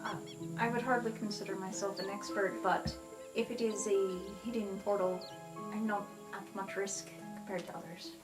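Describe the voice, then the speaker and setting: A woman's voice: mid-range voice, steady tone, moderate pace. A woman improvising dialogue as a player in a tabletop roleplaying podcast. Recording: echoey room, clear music behind.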